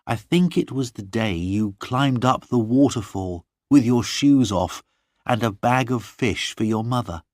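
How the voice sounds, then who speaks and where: Male reader voicing a lovestruck young noblewoman, high and heartfelt, dry close sound. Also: lively intonation, a little slow, no echo, natural voice. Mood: tender. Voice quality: raised, emotional delivery. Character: young noblewoman, tender, passionate, pleading.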